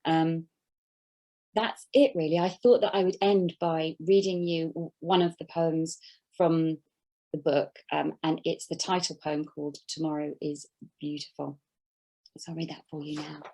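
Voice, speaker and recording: A woman's voice: sits low, little pitch movement, unhurried, warm tone, poor audio. A woman author talking thoughtfully about her poetry collection, hesitant with many fillers.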